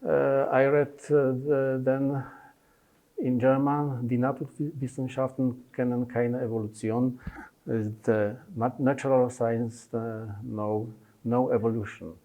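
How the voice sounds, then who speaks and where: A man in his fifties whose first language is Polish, presenting his book with many pauses, fillers and restarts in non-native phrasing. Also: mid-range voice, flat delivery, dark tone, rough recording. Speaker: consultant pediatrician.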